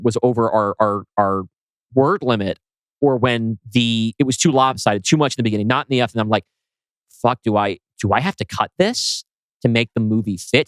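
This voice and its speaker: A man with an average-pitched voice speaks in an informal podcast discussion. He sounds like a writer talking through his work with a co-host, loose and unpolished in his phrasing. The sound is close and dry, with little room on it. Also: fairly bright tone, smooth, no echo.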